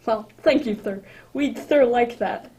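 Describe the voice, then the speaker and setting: A woman's voice: fairly high pitch, measured pace, a little mellow, lightly acted. A woman playing a sly male gang leader feigning politeness as a carol singer in an amateur radio drama. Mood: falsely polite.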